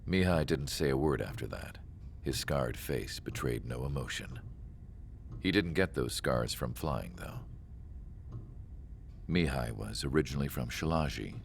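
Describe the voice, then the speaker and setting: Reflective narrator, very deep voice, gravelly, measured, wistful. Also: male voice, expressive, slight background noise, heavily acted. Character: reflective man, calm, wistful storyteller. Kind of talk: game cutscene narration.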